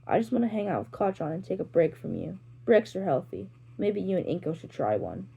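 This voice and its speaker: A sullen teenage boy who is quick to explain himself, acted out by a woman reading fan fiction. The voice is mellow and husky with a gravelly creak. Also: lowish voice, big pitch swings, exaggerated voice. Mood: defiant.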